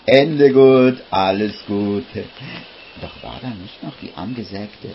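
A man narrating a radio play as a storyteller, with measured, dramatic suspense and wry irony. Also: on the low side, slight background noise. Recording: dull radio recording, music behind.

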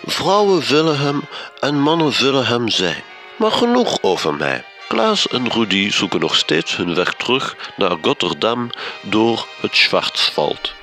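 Man as a bright, pompous, slow narrator. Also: mid-range voice, wildly swooping pitch, soft background music, exaggerated voice. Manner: florid, pompous. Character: pompous, theatrical self-admiring storyteller. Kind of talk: scripted gothic radio drama narration.

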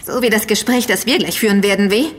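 A woman's voice: mid-range voice, fast, lightly acted. An actress playing a feisty, sceptical young woman, informal and blunt, in a German audio drama. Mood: brisk, blunt. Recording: clear vehicle noise behind.

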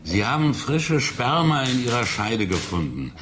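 A man acting a steady, matter-of-fact police detective in a radio drama, laconic and factual. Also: mid-range voice, measured pace, background noise. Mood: reasoning.

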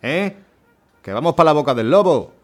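An actor playing a gruff, swearing, reluctant man. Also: on the high side, lively intonation, moderate pace, faint music behind, lightly acted.

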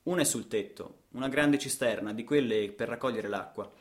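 A man's voice: medium pitch, moderate pace. A man performing a radio theatre monologue as a storyteller, with dramatic, rhythmic delivery full of repetitions and rhetorical questions. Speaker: actor and storyteller.

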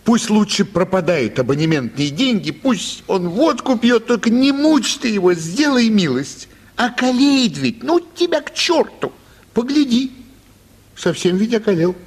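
An actor playing an anxious, exasperated, self-pitying theatre manager in a radio play, excitable and lamenting. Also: male voice, high voice, unhurried, slightly rough, exaggerated voice. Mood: alarmed, exasperated. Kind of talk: Russian radio play acting.

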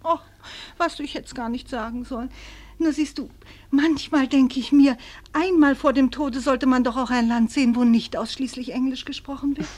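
A woman playing a wistful, hesitant small-town housewife, confiding and expressive, in a radio play. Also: high-pitched, normal speed. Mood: yearning. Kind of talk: German radio play acting.

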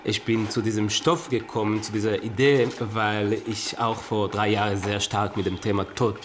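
An actor playing a slightly muddled theatre director who talks in a halting, apologetic way at a rehearsal. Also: male voice, on the low side, steady tone, slight background noise, close to an everyday voice.

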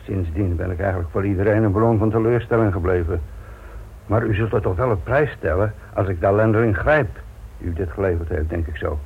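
A man's voice: on the low side, fairly quick, husky. A man playing a persistent police inspector in a radio play, politely apologetic and probing, with hesitant phrasing. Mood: grave, persistent. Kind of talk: Dutch radio play acting. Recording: tinny narrow-band old radio recording, hiss.

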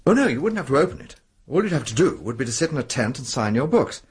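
An urbane English gentleman writer in a British radio drama. He is wry, with a mid-pitched, very husky voice. His intonation is highly animated and expressive. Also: quick pace. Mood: genial, persuasive.

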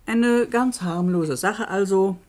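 An actress playing a narrator in a radio play, explaining a case in a composed, wry manner. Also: female voice, medium pitch, slow, darkish tone, lightly acted. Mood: wry, explanatory. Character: wry female narrator presenting a case to listeners.

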